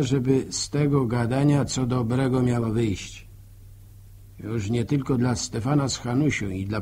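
A man's voice: fairly low pitch, dark tone, a little hiss or hum. A male actor playing a wry, folksy village narrator in a 1960s radio drama, musing ruefully in rustic speech. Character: wry, folksy village storyteller, gently lamenting. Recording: old 1960 radio broadcast recording.

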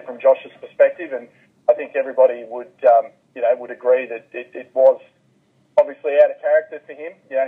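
Over a narrow telephone line, a male commentator gives careful, measured analysis. His voice is husky and mid-pitched, and he qualifies his points as he goes. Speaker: basketball commentator, interview guest. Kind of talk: phone interview analysis.

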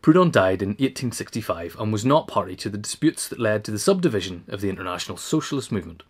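A man's voice: on the low side, lively intonation, fast, slightly rough. A man reading scripted narration, as in an audio essay. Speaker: reader.

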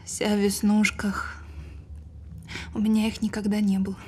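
An actress playing a frightened woman reliving a childhood memory under hypnosis, speaking haltingly in a naturalistic audio drama. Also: medium pitch, completely flat delivery, dark tone, a little hiss or hum, natural voice. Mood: tranced, uneasy.

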